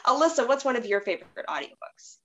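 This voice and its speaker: A woman's voice: a little high, big pitch swings, fast, muffled recording. Woman chatting in a casual, rambling way. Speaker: podcast host. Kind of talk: casual chat.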